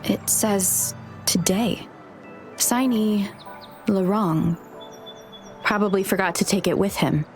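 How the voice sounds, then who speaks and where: Game role: practical investigator companion, matter-of-fact, mid-pitched, faint music behind. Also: female voice, slow, pauses often. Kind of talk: video game voice acting.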